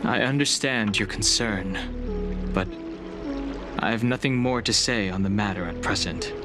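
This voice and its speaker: An actor playing a calm, reserved young man who speaks tersely in video game dialogue. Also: on the low side, unhurried, natural voice. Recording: game audio with music underneath. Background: background music.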